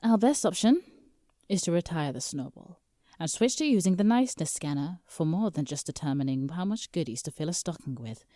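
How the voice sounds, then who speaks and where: A woman's voice: on the low side, lively intonation, brisk, dark tone, dry recording, close to an everyday voice. A British woman playing an exasperated, businesslike lawyer in a scripted comedic audio drama, formal and dry. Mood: briefing, matter-of-fact. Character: exasperated, businesslike female lawyer for Santa Claus.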